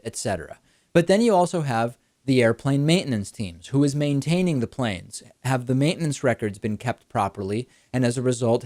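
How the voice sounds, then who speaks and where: Male talk show host, mid-pitched, brisk and explanatory, dry studio mic. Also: a little mellow, no room sound. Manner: brisk explanatory, rhetorical questions. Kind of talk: political talk show commentary.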